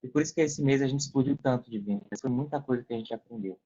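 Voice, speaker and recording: A man's voice: medium pitch, fast talker, dark tone. A Brazilian man explaining things casually as an interview guest, repeating points for emphasis. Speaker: podcast guest, affiliate marketer. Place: online video call. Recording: dull, lo-fi call audio.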